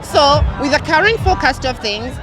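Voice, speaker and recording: A woman's voice: high voice, expressive, normal speed, rough recording. A woman from Uganda speaking fluently and passionately as an activist in an outdoor interview. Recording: outdoor crowd and music behind. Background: crowd and music.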